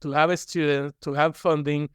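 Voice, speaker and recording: Male interview guest, Cuban accent, native Spanish speaker. Clear, smooth tone, candid conversational delivery, dry close recording. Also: a little high, flat delivery, moderate pace, a bit bright, no room sound. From Cuba. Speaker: guest, university professor.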